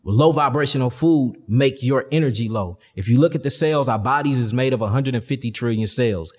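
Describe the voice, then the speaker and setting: A man's voice: mid-range voice, a little fast, a little mellow. Emphatic man in his thirties, reverberant room. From St. Louis, Missouri, USA. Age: 30s. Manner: emphatic, slangy, explanatory, preacher-like build-ups. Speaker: interview guest, health and consciousness teacher. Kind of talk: impassioned conversational teaching. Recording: long hall-like reverb.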